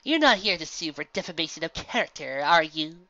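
A woman's voice: low voice, expressive, a little fast, sharp tone, no echo, voice pushed up. A woman voicing a wry, guarded female pony gym leader who is easily worried. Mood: wary. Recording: dull, dry lo-fi recording.

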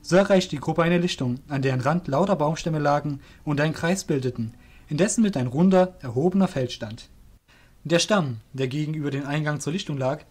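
A man's voice: on the high side, brisk, a little mellow, breathy edge. A man narrating a scripted fantasy audio drama as its storyteller.

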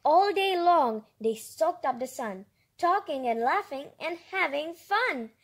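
Female, very high voice, unhurried pace, childlike and enthusiastic, reads picture books aloud with chatty asides. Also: expressive. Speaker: storybook reader and host.

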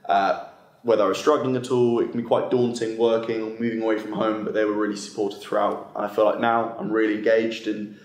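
A man's voice: lowish voice, reverberant. A young man, a student, talking earnestly and fluently on a university open day panel, recorded by a camera across a meeting room. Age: young adult. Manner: earnest, fluent, with some fillers. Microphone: far camera.

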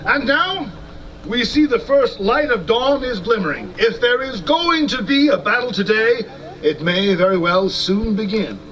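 A man's voice: high voice, expressive, a little slow, a bit bright, lo-fi sound. A man playing a courteous, curious battlefield commentator in a live historical reenactment, with a formal announcer-like delivery. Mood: expectant, narrating. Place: outdoor stone amphitheater in open scrubland. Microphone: stand mics, recorded from a distant camera. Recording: distant outdoor recording, faint ambient noise.

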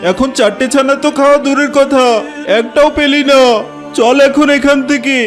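A man's voice: very high-pitched, fairly even intonation, normal speed. A man voicing a grumbling tiger uncle in a children's cartoon, with an exaggerated, complaining delivery. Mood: scolding, glum. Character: gruff tiger uncle, beaten and scolding his fox nephew. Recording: music bed behind the voice.

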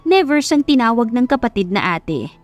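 A woman narrates a scripted romance like a dramatic audiobook. Her voice is fairly high with very varied intonation. Background music plays underneath. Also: sing-song, moderate pace, muffled recording.

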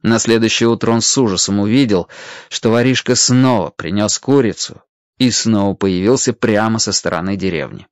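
A man narrating a scripted children's story in a measured, expressive way. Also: lowish voice, no room sound.

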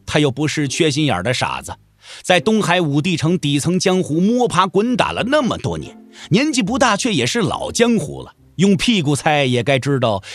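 A man narrates a martial-arts audio drama with expressive storytelling. His voice is rather high and swings widely in pitch, with music softly underneath.

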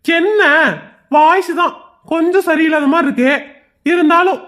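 A woman's voice: very high-pitched, moderate pace, fairly bright tone. A woman voicing a teasing but affectionate father. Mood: doting.

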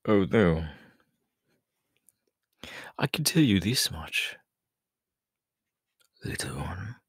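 Sly, menacing male villain acted by a man for ASMR roleplay: low-pitched, slow, teasing, breathy with whispers. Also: very animated, no echo, clean studio sound, heavily acted. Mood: quiet threat. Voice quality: breathy, often dropping into whispers. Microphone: close microphone, dry.

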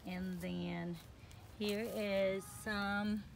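Slow-talking curious woman filming outdoors. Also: medium pitch, level tone, crowd noise. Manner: curious, asks questions and repeats answers. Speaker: vlogger filming her mother's garden. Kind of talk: casual handheld walkthrough. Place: backyard garden outdoors. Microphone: phone camera held by speaker. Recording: outdoor noise clearly audible.

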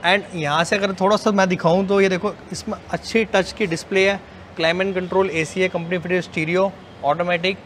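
Higher-pitched salesman pitching cars. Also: fairly even intonation, brisk, fairly bright tone. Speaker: used car dealer. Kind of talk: informal sales walkthrough. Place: indoor basement car showroom. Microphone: clip-on lapel mic. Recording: faint ambient noise of indoor car park.

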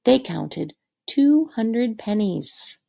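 A woman's voice: fairly high pitch, very animated, extremely slow, vocal fry, warm tone. A woman teacher reading a picture book aloud to third graders, expressively and with direct questions to the children.

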